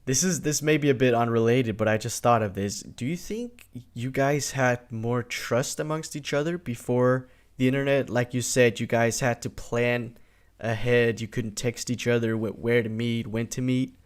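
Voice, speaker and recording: A man's voice: mid-range voice, fairly even intonation, moderate pace. A man in his twenties hosting a casual podcast interview, easygoing and agreeable, asking open questions. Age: 20s. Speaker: podcast host.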